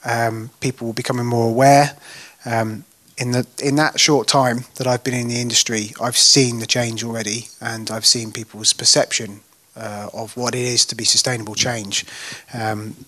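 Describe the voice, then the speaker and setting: Bright, forceful man on a panel. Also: mid-range voice, flat delivery, normal speed. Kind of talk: unscripted panel discussion.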